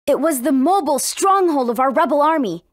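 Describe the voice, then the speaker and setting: A woman with an American accent playing a spirited, earnest teenage warrior princess in an English video game, with expressive, emphatic delivery. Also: high-pitched, a little fast, no echo, studio quality. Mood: earnest, explaining.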